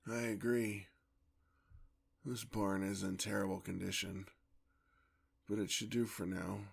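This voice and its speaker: A man playing a weary, tender, courtly male vampire in an ASMR roleplay, with a husky, gravelly voice and formal, old-fashioned speech. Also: fairly low pitch, flat delivery, measured pace, heavily acted. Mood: apologetic, affectionate.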